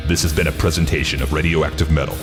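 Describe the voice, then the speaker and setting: A man's voice: extremely low pitch, steady tone, fast talker. A man reading a scripted promo as a podcast announcer. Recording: long reverb, music behind.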